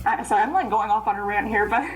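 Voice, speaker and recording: A young woman, an interpreter, chatting in a casual interview, rambling and animated as she explains herself. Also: mid-range voice, fast, a little hiss or hum, rough recording. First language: English. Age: young adult. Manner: rambling, animated, trails off into laughs. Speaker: guest, Korean interpreter.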